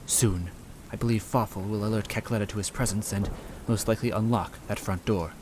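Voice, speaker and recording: A man voicing a calm, watchful, stoic strategist in a story, speaking in a measured way. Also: lowish voice, noisy background, lightly acted. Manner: measured, unflappable.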